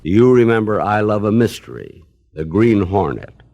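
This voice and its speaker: A man's voice: on the low side, big pitch swings, normal speed, creaky, muffled recording, heavy background noise. A man narrating a scripted retrospective on old radio in a nostalgic manner. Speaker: host and narrator.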